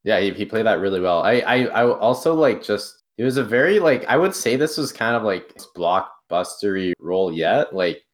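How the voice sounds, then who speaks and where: Low-voiced young man, halting and rambling. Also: lively intonation. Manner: halting, stacks of likes and restarts. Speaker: podcast co-host. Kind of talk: casual film discussion.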